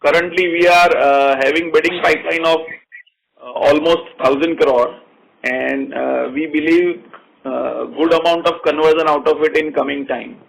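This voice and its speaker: Indian male executive, slightly high voice with clear vocal fry, formal and confident, for earnings-call answers. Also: steady tone, moderate pace, rough recording. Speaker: company executive.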